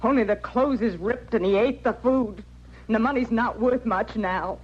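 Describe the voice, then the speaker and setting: A woman's voice: a little high, moderate pace, a little mellow, husky. On a dull vintage radio recording, a proud frontier widow speaks in rustic, folksy phrases. She sounds stubborn and wounded, in the manner of old western radio drama. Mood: bitter, defiant.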